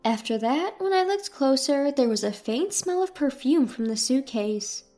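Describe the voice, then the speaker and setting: A woman's voice: high-pitched, lively intonation, moderate pace, dark tone. A woman reading a scripted first-person dramatic story as its narrator. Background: music.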